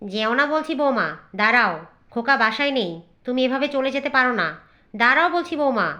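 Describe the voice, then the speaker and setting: A woman's voice: sits high, sing-song, normal speed, pushed and bright, exaggerated voice. Woman acting a bossy, scolding mother-in-law. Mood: outraged. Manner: commanding, stern. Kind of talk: cartoon voice acting.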